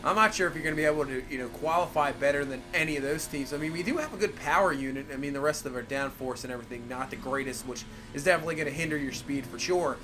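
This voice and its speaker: A man's voice: on the high side, rapid-fire, few pauses, music in the background. A man with a cold, rambling through casual gameplay commentary and leaning on fillers like "obviously" and "for sure". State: has a cold. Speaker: gaming channel host.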